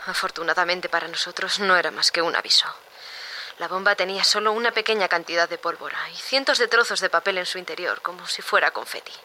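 An actress playing a composed maintenance worker recounting an incident in a measured recorded-diary monologue, with noise behind. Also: female voice, lowish voice, sharp tone. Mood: grave, matter-of-fact.

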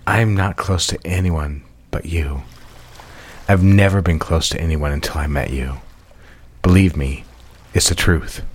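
An actor playing a devoted, soft-spoken man in love, confiding intimately in an audio drama. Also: low voice, a little slow, long pauses, slightly rough. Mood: tender, confiding.

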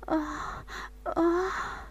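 A woman voicing a passionate adult woman in an intimate scene, with breathy, high moaning cries. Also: steady tone, drawn-out words, dry recording, muffled recording, exaggerated voice.

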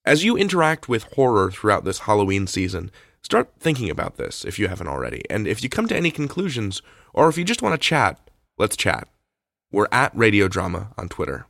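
A man's voice: on the low side, wildly swooping pitch, a little fast, vocal fry, fairly bright tone, dry recording, studio quality. A man hosting a podcast with reflective, conversational commentary. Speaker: podcast host.

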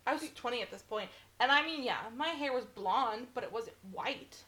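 A woman's voice: a little high, lively intonation, moderate pace, bright, forceful tone. A woman in her twenties co-hosting a podcast in casual chat, blunt and swearing freely, acting out other people's lines. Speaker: podcast co-host.

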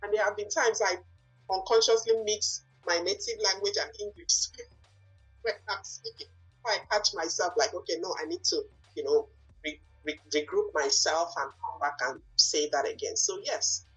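A woman from Nigeria with a Nigerian accent, whose first language is not English, answering interview questions and often pausing and restarting to find her words. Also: fairly low pitch, a bit bright, breathy edge, highs cut off, faint music behind. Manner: searching for words, frequent fillers and restarts. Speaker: guest, lawyer and business owner.

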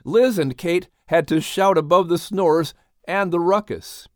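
A man narrating and announcing in an animated, scripted storytelling style. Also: high-pitched, a little slow, dry recording, studio quality.

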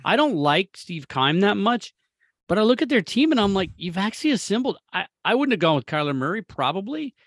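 An American man co-hosting a casual sports chat, speaking in opinionated, rambling and animated bursts. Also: sits high, brisk, no echo, clean studio sound. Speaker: sports talk co-host.

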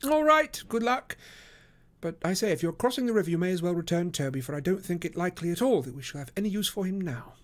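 A man voicing a brooding, aloof gentleman detective with a clipped, formal, opinionated way of talking. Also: high-pitched, expressive, quick pace, voice pushed up. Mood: wry.

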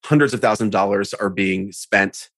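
A man giving an animated, conversational interview over a video call, laughing often. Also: on the low side, normal speed. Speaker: interview guest, on-camera coach.

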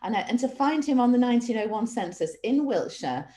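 Mellow middle-aged English woman telling her story. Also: on the high side, lively intonation, brisk. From Manchester, England. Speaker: interview guest, family history researcher. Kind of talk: casual conversational storytelling.

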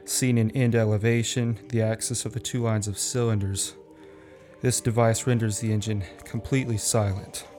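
A man's voice: on the low side, level tone, moderate pace, darkish tone, soft background music, lightly acted. A man in a radio play acting an earnest, deferential dying memory-act performer who recites by rote. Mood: reciting. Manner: recites technical details by rote.

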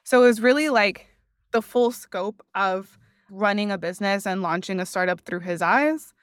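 A woman from Miami speaks as a guest on an interview podcast, reflective and earnest, with a smooth, clear voice at a middle pitch. Her thirties-age speech is casual, with frequent "like". The sound is dry and close. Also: normal speed, no echo. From Miami, United States. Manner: reflective, earnest, uses "like" often. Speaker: podcast guest, customer success leader. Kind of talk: conversational interview.

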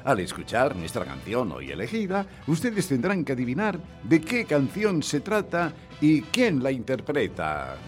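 A man's voice: mid-range voice, huge pitch swings, a little slow, hardly any pauses. A man from Spain playing a chirpy, formal radio quiz host with a showy, ceremonious, over-polite manner. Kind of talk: old-fashioned radio contest presenting, acted. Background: music.

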